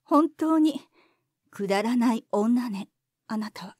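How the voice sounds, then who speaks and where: An actress playing a proud, sharp-tongued veteran diva with a cold, imperious manner in an audio drama. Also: female voice, on the high side, expressive, drawn-out words, no echo, studio quality, full character voice. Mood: cold, scornful.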